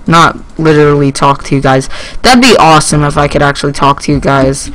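This is a teenage male with a voice pitched a little high for a man. He talks casually over his gameplay as a YouTuber would. His delivery rambles and gets excitable as he goes. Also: brisk, poor audio. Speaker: gaming YouTuber.

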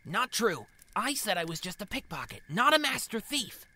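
A man's voice: high-pitched, very animated, moderate pace, sharp tone, pitched above the performer's own voice, exaggerated voice. A man voicing a young male pickpocket, defensive and unsure, in a storybook reading.

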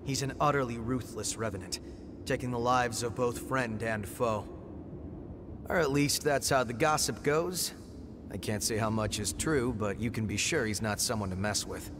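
A man voicing a calm young revenant warrior. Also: medium pitch, moderate pace, close to an everyday voice. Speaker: game character. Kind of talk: video game cutscene dialogue. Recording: game audio with steady background noise.

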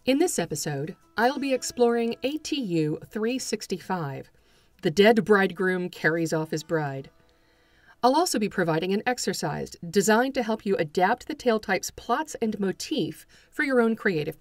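A woman hosting a folklore podcast, reading a scripted introduction in a bright, eager way with playful asides. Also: mid-range voice, animated, moderate pace, no echo, faint music behind.

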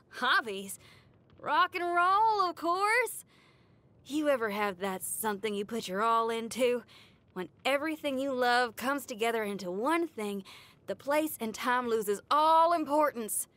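A woman's voice: a little high, fairly bright tone. A woman voicing a brash young female rock guitarist in a game, cocky and upbeat. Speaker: game character. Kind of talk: game voice lines.

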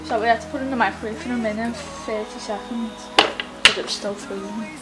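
A woman's voice: fairly high pitch, fairly even intonation, brisk. A teenage girl vlogging from her bedroom, talking in a chatty, rambling way and mumbling while she cooks. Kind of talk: casual vlog chat while cooking. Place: small bedroom indoors. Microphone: camera mic close up. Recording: loud music playing under the talk.

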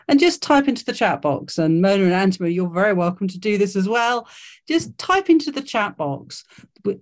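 A woman's voice: medium pitch, a little mellow. A woman hosting an online careers workshop over a video call, encouraging and full of fillers and restarts. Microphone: video call mic.

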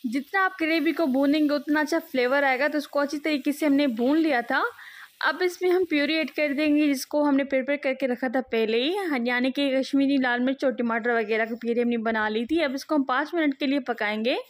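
A woman host giving a steady, step-by-step voice-over for a cooking video. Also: high voice, fairly quick. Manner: steady instructive, repeats cooking steps. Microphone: voice-over, not seen speaking.

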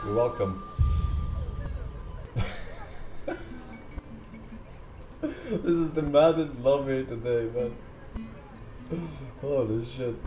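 A man's voice: mid-range voice, big pitch swings, very slow, long pauses, mellow, soft background music, poor audio. A man in his thirties chatting casually and dryly while streaming a game on a close mic. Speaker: livestreamer playing an online game. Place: small room with green curtain. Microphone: close desk microphone with pop filter.

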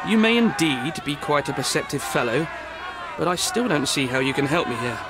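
An actor playing a harried, defensive city hall official in a video game, speaking formally and precisely. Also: male voice, fairly high pitch, lively intonation, a little fast, a loud crowd, heavy background noise. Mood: defensive, stiff. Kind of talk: video game cutscene acting.

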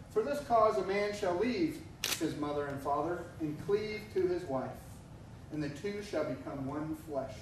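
A middle-aged man officiating a wedding, speaking in a measured, solemn way. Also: on the high side, noisy background. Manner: measured, solemn liturgical delivery. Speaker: officiating minister. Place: church sanctuary. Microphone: far camera. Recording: echoey church from far off.

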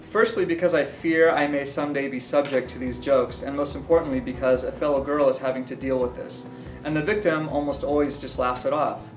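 A man's voice: medium pitch, fairly even intonation, fairly quick. Man, reading aloud, earnest, echoey room, music behind.

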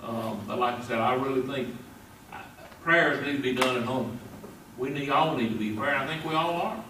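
A middle-aged man gives his view at a board meeting, plain-spoken, with frequent "uh" pauses. His voice carries from across a roomy hall with background noise beneath it. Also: medium pitch. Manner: plain-spoken, many "uh" fillers. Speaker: school board member. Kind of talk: formal meeting discussion. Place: large meeting room. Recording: echoey room, far from mic, background noise.

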